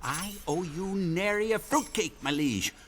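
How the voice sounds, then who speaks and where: A woman's voice: fairly low pitch, animated, unhurried, a little hiss or hum. A woman playing a self-important, easily flustered palace courtier in a comic audio drama, with an affected theatrical delivery. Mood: indignant.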